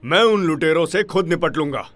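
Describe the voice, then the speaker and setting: An actor playing a grave, authoritative commander in a cartoon dub, speaking in a firm, serious, controlled way. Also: male voice, a little high, expressive, a little fast, a bit bright, no room sound, soft background music. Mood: commanding. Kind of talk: Hindi cartoon dub acting.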